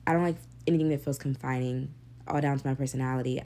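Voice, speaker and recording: A young woman hosting her own podcast, talking candidly and casually, swearing freely, with a deep, gravelly voice. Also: fairly even intonation, fast, mellow, a little hiss or hum. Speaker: podcast host. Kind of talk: casual solo podcast monologue.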